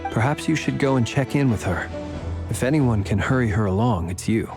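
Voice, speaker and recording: An actor playing a polite, slyly persuasive gentleman in a video game, speaking formally with wry courtesy. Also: medium pitch, expressive, fairly quick, soft background music, close to an everyday voice. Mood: composed. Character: polite, slyly persuasive, smooth-talking gentleman, adult male. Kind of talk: video game character acting.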